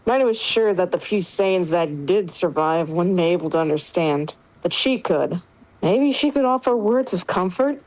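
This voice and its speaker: A woman's voice: fairly low pitch, expressive, normal speed, no room sound, rough recording. A woman reading scripted story narration in a dramatic reading. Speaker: reader in a group dramatic reading.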